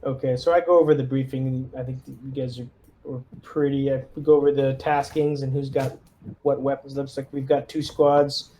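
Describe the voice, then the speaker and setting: A man's voice: medium pitch, level tone, moderate pace, dark tone, a little hiss or hum, poor audio. A man playing a tabletop roleplaying game, talking casually through ideas and thinking aloud with hesitations. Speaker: tabletop player playing a military lieutenant.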